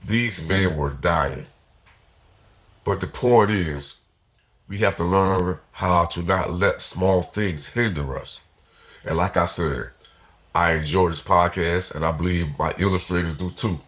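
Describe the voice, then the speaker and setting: Man, podcast host, very deep voice, nasal and congested from a cold, earnest delivery. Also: a little slow, a bit bright. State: has a cold, nasal and foggy. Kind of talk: motivational podcast monologue.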